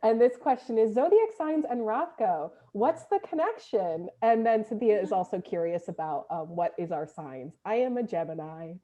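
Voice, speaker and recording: A woman's voice: mid-range voice, animated, normal speed, few pauses, mellow. A woman moderating an informal panel conversation, speaking casually and tentatively as she puts questions and reflects. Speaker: play director and panel moderator.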